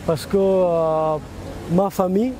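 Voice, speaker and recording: Halting high-voiced young Afghan man. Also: fairly even intonation, warm tone. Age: 20s. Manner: halting, searching for words. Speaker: interviewee at a press conference. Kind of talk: earnest spoken testimony. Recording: clear outdoor ambient noise.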